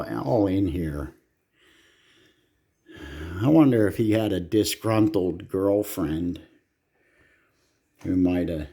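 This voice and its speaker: Guy doing a repair video, kinda low voice, takes his time, blunt and sweary. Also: a little mellow. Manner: blunt, salty, swears casually. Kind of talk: casual hands-on repair commentary.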